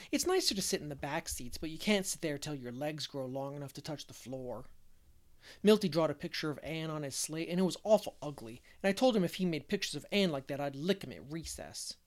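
A woman voicing a lively little boy who chatters eagerly as she reads an audiobook. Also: low voice. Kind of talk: audiobook character voice.

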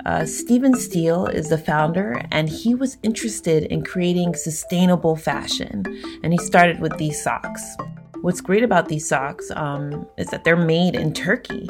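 A woman's voice: low-pitched, measured pace. A woman hosting a podcast, speaking in an encouraging, scripted way over a music bed. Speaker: podcast host.

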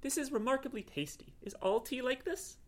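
A man's voice: on the high side, very animated, brisk, sharp tone, full character voice. A man voicing a proud, fussy male housecat in a story, with formal and precise speech. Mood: curious, formal. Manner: formal, precise, indignant phrasing.

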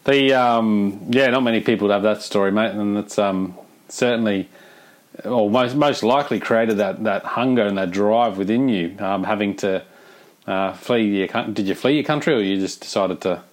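A man with a fairly low voice hosts an interview podcast. He talks informally and in a matey way, halting and rephrasing as he works toward each question. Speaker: podcast host. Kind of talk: conversational interview.

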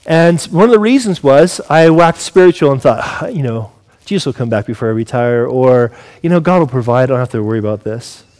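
A man's voice: mid-range voice, lively intonation, brisk, a little mellow. A man in his forties speaking as a pastor, giving a sermon in an earnest, conversational way and putting questions to his listeners. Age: 40s.

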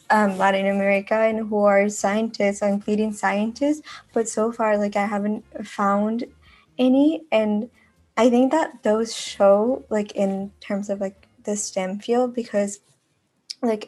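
Young woman from Mexico, halting conversational delivery. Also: medium pitch, fairly even intonation, a little mellow. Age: young adult. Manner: halting, frequent filler "like". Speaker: university student panelist. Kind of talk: casual podcast discussion.

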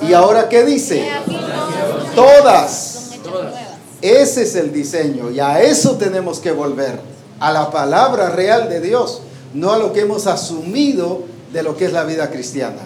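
High-voiced preacher in an echoey hall. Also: male voice, big pitch swings, a little slow, a little mellow. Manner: asks the congregation questions and waits for answers. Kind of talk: sermon. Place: church hall with a congregation. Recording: echoey hall with faint background noise.

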